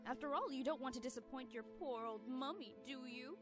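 A woman's voice: high voice, a little fast, faint music behind, lightly acted. A woman voicing a villainous pony mother in a cartoon, scheming, mocking and theatrically domineering. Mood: commanding.

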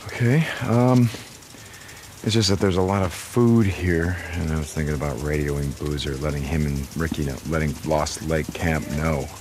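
A man's voice: very deep voice, fairly even intonation, background noise. An actor with an American accent playing a rugged, protective biker in his thirties, hesitant and awkwardly tender, in a video game drama. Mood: hesitant, casual. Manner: hesitant, halting, with ums and restarts. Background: music behind the voice.

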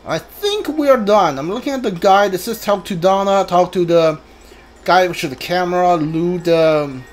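A man giving casual video game commentary, thinking aloud in a halting way and reading bits out as he goes. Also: sits high. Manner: halting, mutters to himself, reads guide and subtitles aloud.

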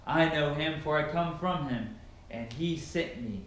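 A man voicing a solemn male preacher addressing a crowd, slow and emphatic, recorded in an echoey bare room from a far camera. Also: on the high side, slight background noise, natural voice.

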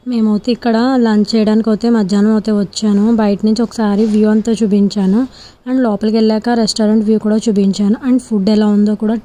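A woman's voice: mid-range voice, warm tone. A young adult woman narrating her family outing in a casual, chatty vlog voice-over. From Andhra Pradesh, India. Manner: chatty, filler-heavy storytelling. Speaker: vlogger.

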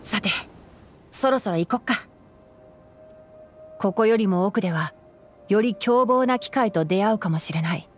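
A woman playing a calm, principled young male fox-eared forest ranger and scholar in a Japanese video game, speaking in a measured, articulate way. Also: medium pitch, soft background music, natural voice. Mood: casual.

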